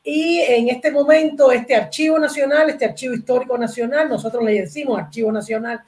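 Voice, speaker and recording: Casting: Cuban woman, middle-aged, fairly high voice, academic podcast guest explaining her field. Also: fairly quick, a little mellow. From Cuba. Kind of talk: interview explanation.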